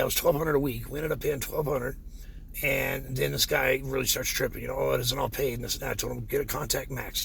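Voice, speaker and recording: Man, hoarse and very gravelly, frustrated contractor rambling to camera, faint noise behind. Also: mid-range voice, fairly bright tone. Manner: frustrated, rambling, many fillers. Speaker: pond contractor. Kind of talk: casual vlog rant.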